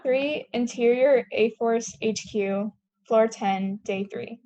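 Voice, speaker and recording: Young female narrator, higher pitch, reading screenplay action lines at a table read. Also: slow pace, dark tone, lo-fi sound. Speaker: stage-direction narrator.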